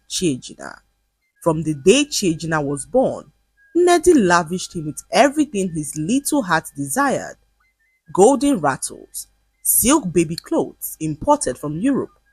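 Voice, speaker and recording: A woman storyteller delivering scripted, dramatic folktale narration in an expressive way. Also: on the low side, breathy edge, no room sound, low-quality recording.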